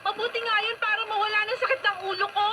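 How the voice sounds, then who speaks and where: A woman's voice: very high-pitched, little pitch movement, racing. A woman playing a scornful, exasperated young girlfriend who scolds in a radio drama. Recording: noisy radio drama with clear background noise.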